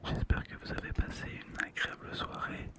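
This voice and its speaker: An ASMR host speaking in a breathy, unvoiced whisper, gently and soothingly, close to the microphone. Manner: slow, gentle, soothing delivery. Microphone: close ASMR microphone, monitored on headphones.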